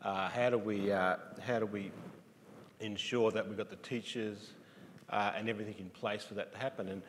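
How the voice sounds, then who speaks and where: Guy on a panel, lowish voice, plain talk w some uhs, echoey hall. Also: steady tone. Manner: plain-spoken, halting with fillers. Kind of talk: panel discussion. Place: conference stage in a large hall. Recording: long hall reverb, faint room noise.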